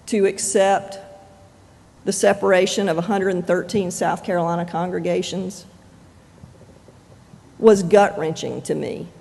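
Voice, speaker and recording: A pastor preaching a sermon in measured, deliberate phrases with frequent pauses. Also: medium pitch, gravelly, darkish tone. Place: church sanctuary. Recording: long reverb of a church sanctuary.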